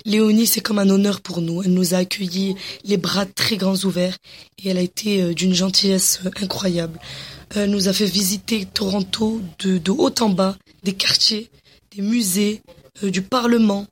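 A young woman from Morocco with a husky, breathy voice. She talks with eager enthusiasm, full of hesitations and restarts. Her pitch sits at an ordinary middle height. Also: steady tone, normal speed. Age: young adult. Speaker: interviewee, young entrepreneur. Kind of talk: casual interview answers.

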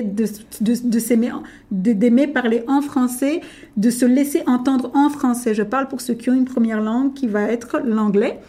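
A middle-aged woman, a native French speaker, talking as a guest about her contest in an emphatic, animated way. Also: on the high side. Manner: emphatic, animated, addresses listener directly. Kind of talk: casual interview talk.

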